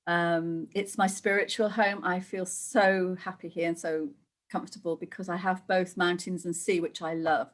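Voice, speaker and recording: A woman's voice: on the low side, flat delivery, moderate pace. A middle-aged woman from Wales, a guest who chats casually, laughs often and acts out the voices she quotes. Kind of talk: casual conversational interview.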